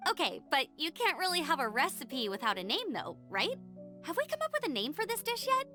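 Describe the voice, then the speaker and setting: A woman voicing a sweet, easygoing, polite young girl with a gentle, hesitant manner in an anime-style video game. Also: sits high, big pitch swings, fairly quick, faint music behind, full character voice. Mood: thoughtful.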